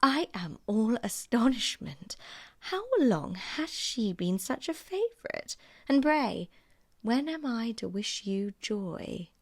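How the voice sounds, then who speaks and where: A woman's voice: a little high, big pitch swings, takes it slowly, no room sound, studio quality, voice pushed up, heavily acted. A woman voicing a haughty young society lady in an audiobook, affected, snide and archly disdainful. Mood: mocking, arch. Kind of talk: audiobook character acting.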